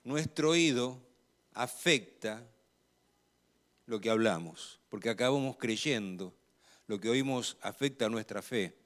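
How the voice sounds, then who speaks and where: A man with an Argentine accent preaching a sermon in a measured, emphatic way, growing louder on key phrases. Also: mid-range voice, lively intonation.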